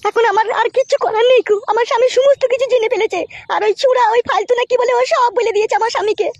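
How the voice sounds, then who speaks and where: Squeaky high cartoon wife, super fast, pushy n nagging, shrill. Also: female voice, no echo. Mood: urgent. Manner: nagging, foul-mouthed, insistent. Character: scolding, pushy village wife with a lover, shrill-voiced. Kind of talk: comic cartoon dialogue.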